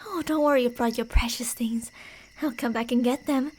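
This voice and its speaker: A woman playing a delusional, playfully menacing yandere killer in an ASMR roleplay, teasing in a sing-song way and slipping into breathy whispers. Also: a little high, fairly even intonation, normal speed, a little hiss or hum, natural voice. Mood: delighted obsession.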